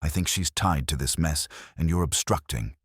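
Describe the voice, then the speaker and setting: A man's voice: very deep voice, normal speed, breathy edge, dry recording, studio quality. A man playing an irate, impatient police inspector in a detective story, blustering and accusatory. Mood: accusing.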